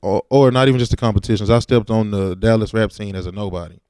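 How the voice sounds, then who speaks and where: A man's voice: on the low side, fast talker, a little mellow, clean tone, dry recording, clean studio sound. A laid-back man, talking casually and with slang as a rapper in an interview.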